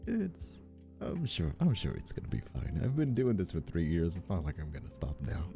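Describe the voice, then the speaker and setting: Deep male streamer, very dark relaxed tone, soft-spoken, rambling, reassuring, lively intonation, casual ASMR-style chat. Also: normal speed, gravelly. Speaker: streamer and ASMR voice actor hosting a live stream. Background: soft instrumental music.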